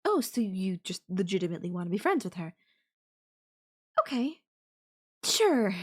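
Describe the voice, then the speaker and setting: A woman playing a clingy, possessive girlfriend who feigns sweet innocence in an audio roleplay, speaking coyly and hesitantly with hums and pauses. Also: medium pitch, very animated, breathy edge. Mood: jealous, pouting. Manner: hesitant, drawn-out, coy delivery with hums and pauses. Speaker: voice actor. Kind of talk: girlfriend audio roleplay, one side of a call.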